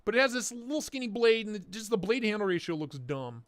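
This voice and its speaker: A young man hosting a casual livestream, hoarse as he loses his voice, talking in an excitable, profane way and slipping into mock voices. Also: high voice, quick pace, dry recording. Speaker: livestream host.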